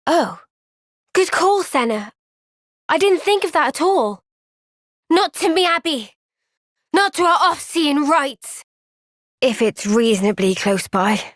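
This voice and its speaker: Young female game soldier, high voice, unhurried, gentle, earnest, resolute. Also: sing-song, dry recording, studio quality, exaggerated voice. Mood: bright, pleased. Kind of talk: video game cutscene voice acting.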